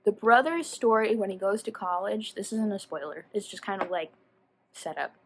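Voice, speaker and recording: A woman giving a casual, chatty book review as a vlogger, animated and slangy, often rambling and interrupting herself. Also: medium pitch, normal speed, slight background noise.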